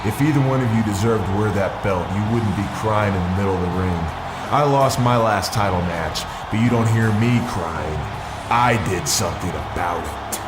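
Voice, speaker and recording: A man's voice: lowish voice, moderate pace, natural voice. A man playing a combative, aggrieved challenger wrestler with a scornful manner in a video game. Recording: echoey arena, loud music behind.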